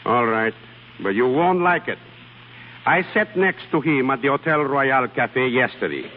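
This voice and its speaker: An actor playing an exacting, sardonic European singing teacher in theatrical 1930s radio style, dry and critical. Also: male voice, medium pitch, expressive, measured pace, natural voice. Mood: wry. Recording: tinny, echoing, noisy 1930s radio recording.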